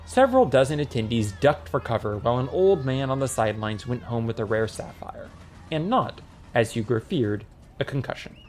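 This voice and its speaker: A man narrating a comic fantasy audiobook in a lively, scripted storytelling style. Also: mid-range voice, normal speed, soft background music.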